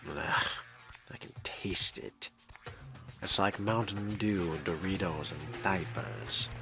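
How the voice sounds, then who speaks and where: A man doing in-character game commentary with a dry, wordy, sardonic manner, often dropping into a breathy murmur. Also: sits low, slow. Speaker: role-playing let's player. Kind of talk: in-character game commentary, musing aloud and reading text. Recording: game music behind. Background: video game music.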